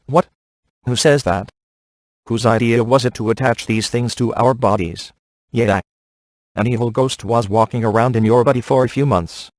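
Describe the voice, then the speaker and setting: An actor playing a streetwise, exasperated ghost hunter in a video game, informal and grumbling. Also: male voice, mid-range voice, dry recording, natural voice.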